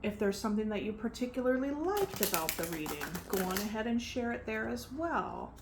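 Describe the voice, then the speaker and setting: A soft-spoken woman giving a casual tarot reading, chatty and relaxed with frequent fillers. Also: a little high, brisk. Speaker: tarot reader. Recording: faint background noise.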